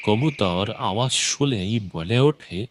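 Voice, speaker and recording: Guy narrating a kids story, real slow, low voice, water noise going behind him. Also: animated. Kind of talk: scripted children's story narration.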